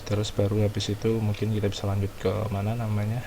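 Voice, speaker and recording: A man giving casual, halting let's-play commentary as a gaming streamer. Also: lowish voice, completely flat delivery. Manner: hesitant, halting, filler-heavy. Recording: noticeable background noise and ambience.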